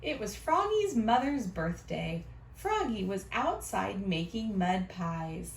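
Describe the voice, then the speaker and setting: A woman reading a storybook aloud to children in an expressive, teacherly way. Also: mid-range voice, slow, vocal fry. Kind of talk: animated read-aloud with questions to children. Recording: roomy, distant mic, faint noise.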